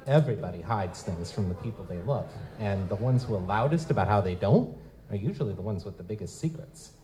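A man's voice: fairly low pitch, expressive, fairly quick, warm tone, natural voice. A man playing a wry, streetwise goblin-like private investigator in a noir audio drama, speaking in casual slang. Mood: brooding narration. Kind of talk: live audio drama dialogue and first-person narration. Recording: noticeable background noise.

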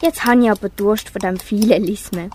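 A woman's voice: mid-range voice, moderate pace, dark tone, natural voice. A young girl with a Swiss German accent playing a farm woman fond of her animals in an amateur school radio play. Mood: content. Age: child or young teen. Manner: fond, chatty. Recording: clear background noise.

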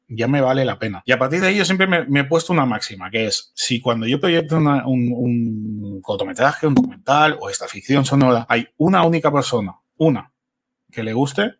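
A man's voice: medium pitch, husky. A middle-aged man chatting casually as a podcast guest. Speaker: podcast guest, amateur filmmaker.

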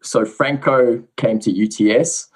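A man with a South African accent, a guest researcher giving a relaxed, explanatory account of his background on a podcast. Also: mid-range voice, measured pace, breathy edge. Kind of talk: casual podcast interview.

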